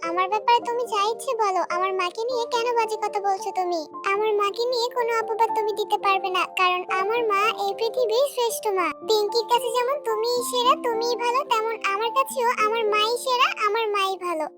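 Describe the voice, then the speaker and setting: A woman's voice: extremely high pitch, steady tone, fast, hardly any pauses. A woman playing an earnest, pleading little village girl in a children's cartoon. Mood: hurt, earnest. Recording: music behind the voice.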